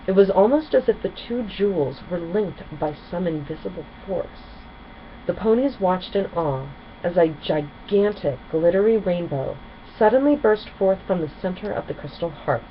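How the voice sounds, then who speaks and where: Lowish-voiced woman reading a lively children's story. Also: normal speed. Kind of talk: expressive children's storybook narration. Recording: dull recording with faint noise.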